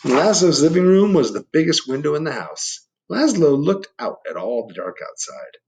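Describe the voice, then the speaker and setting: A man reading a children's story aloud, expressive, gently prompting young listeners with questions and asides. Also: fairly high pitch, moderate pace, slight background noise. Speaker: picture book reader.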